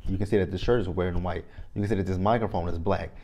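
A man from Texas in his thirties with a Texan accent, giving an animated, opinionated reality TV recap straight to camera on a lapel mic in a small room. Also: low voice, fast. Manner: animated, repeats phrases, talks straight to viewers. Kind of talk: casual opinionated review to camera. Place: small indoor room, plain backdrop.

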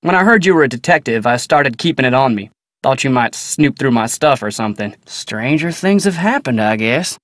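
A man's voice: mid-range voice, expressive, moderate pace, a bit bright, dry recording, natural voice. A man playing a folksy American ranch foreman, dry close sound. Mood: plain, reserved. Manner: folksy, clipped, matter-of-fact. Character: plain-spoken, guarded ranch foreman, polite cowboy. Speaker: voice actor in a video game. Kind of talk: naturalistic video game character dialogue.

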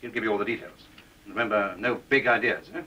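Sharp, exasperated male agency boss. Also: on the low side, fairly even intonation, dull audio, slight background noise. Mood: firm, instructing. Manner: brisk, scolding, emphatic.

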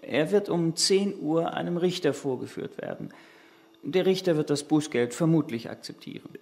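A man plays a courteous police officer in a radio play. His voice is fairly high and creaky. He speaks with measured, cautious politeness. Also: darkish tone, lightly acted.

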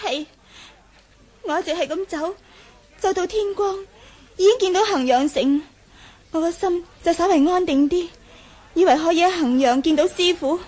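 A woman's voice: very high voice, moderate pace, pauses often, a little mellow. A woman acting in a Cantonese radio drama from Hong Kong, voicing a timid, earnest, naive young nun. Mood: sorrowful recounting. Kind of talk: 1980s martial-arts radio drama acting.

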